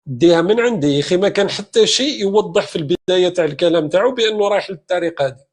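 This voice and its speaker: A man's voice: high voice, very fast. A man from eastern Algeria with an Algerian Arabic accent, hosting a live stream and arguing with callers in a combative, scolding way. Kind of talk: heated live-stream debate.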